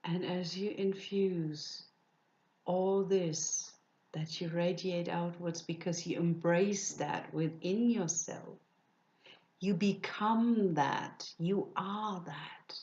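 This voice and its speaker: A woman leading a guided meditation, speaking softly and soothingly, often dropping into breathy whispers. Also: on the low side, fairly even intonation, muffled recording, slight background noise. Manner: slow, soft, soothing with breathy whispered phrases.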